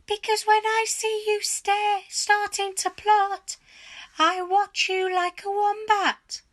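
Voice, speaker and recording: A woman voicing a spiteful, suspicious old wife in a children's story, with a shrill cackle and a scolding, gloating manner. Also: flat delivery, slow, fairly bright tone, full character voice. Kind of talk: theatrical children's storybook character voice.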